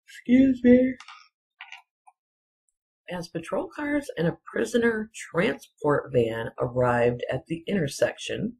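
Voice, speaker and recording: Casual solo commentator, medium pitch, unhurried and halting, insistent repetition, rambling delivery. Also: expressive, creaky, darkish tone, low-quality recording. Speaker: video commentator.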